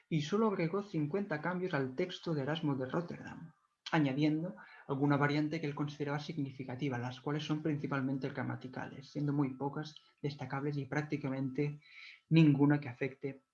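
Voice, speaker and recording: A man whose first language is Catalan gives a measured, devout explanatory talk, often pausing to correct himself. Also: fairly high pitch, steady tone, darkish tone. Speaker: video lecturer. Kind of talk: explanatory talk on church history. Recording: dull lo-fi recording.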